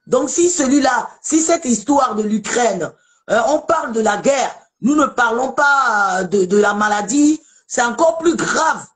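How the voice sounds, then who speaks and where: A woman's voice: a little high, animated, measured pace. A woman from Togo hosting a casual live debate, chatty and emphatic, often repeating herself. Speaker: live show host.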